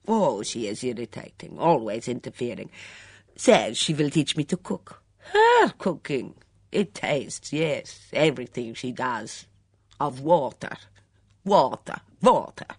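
Lady putting on a high loud fiery cook woman, broken english, keeps repeating words, husky edge, dry close sound. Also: very animated, no echo, heavily acted. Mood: aggrieved. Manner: emphatic, repeats words, halting grammar.

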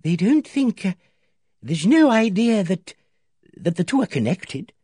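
A man's voice: on the high side, wildly swooping pitch, a little slow, darkish tone, slightly rough, no echo, clean studio sound, pitched above the performer's own voice, full character voice. A man reading aloud, voicing a timid, nervous elderly spinster lady who speaks tremulously and hesitantly.

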